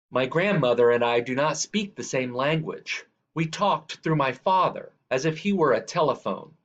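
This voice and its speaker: A man reading a children's picture book aloud in a measured, deliberate way. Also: fairly high pitch. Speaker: reader. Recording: dull, lo-fi sound.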